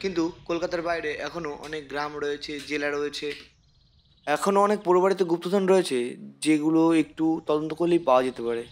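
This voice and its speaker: A man's voice: a little high, fast, close to an everyday voice. A man with a West Bengal Bengali accent playing a patient detective in an amateur web drama. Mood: explaining, intrigued. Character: male detective, elder-brother figure, explaining patiently.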